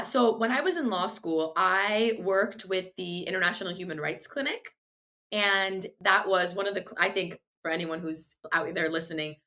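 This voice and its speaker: A woman's voice: fairly low pitch, bright, forceful tone. A woman whose first language is Farsi, answering interview questions in an earnest, thoughtful way with frequent restarts. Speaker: guest, legal tech founder.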